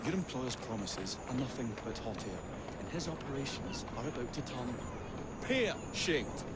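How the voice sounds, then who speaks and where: A man playing an eccentric, polite, nervy young Victorian gentleman inventor in a video game, with a British accent and a hesitant, wordy, theatrical way of talking. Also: mid-range voice, big pitch swings, normal speed, very noisy, natural voice. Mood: defiant, feigned innocence. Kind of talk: video game cutscene acting.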